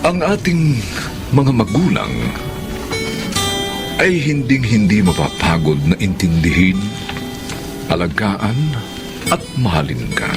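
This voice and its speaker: A man hosting and narrating a radio drama in a deliberate, theatrical announcer style. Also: fairly low pitch, animated. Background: music.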